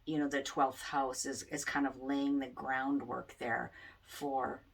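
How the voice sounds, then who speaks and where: A woman astrologer giving a casual forecast, rambling with many fillers, in a loud voice picked up by the camera mic. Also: rumbling low, little pitch movement, slow pace, gravelly, breathy edge. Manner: rambling, many ums and you-knows. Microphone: camera mic, microphone not plugged in. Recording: distant sound from unplugged mic.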